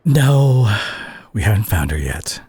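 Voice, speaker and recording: Man acting a weary, husky, low-voiced superhero. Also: big pitch swings, no echo, exaggerated voice. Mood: gentle, reassuring. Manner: hushed, halting, sighing, turning exasperated. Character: weary, worried male superhero at a teammate's hospital bedside. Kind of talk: intimate audio roleplay addressed to the listener.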